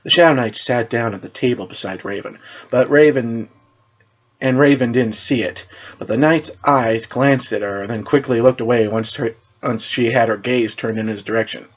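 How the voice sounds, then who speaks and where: A man narrating a story in an amateur way, halting and repeating words as he reads. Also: medium pitch. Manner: halting, stumbles and repeats words while reading. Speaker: narrator reading own superhero story. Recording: dull lo-fi recording.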